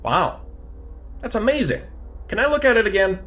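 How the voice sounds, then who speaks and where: High-voiced, curious young-sounding colleague. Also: male voice, big pitch swings, moderate pace, a bit bright, faint music behind. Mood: curious, amazed. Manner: inquisitive, informal. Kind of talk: horror audio drama acting.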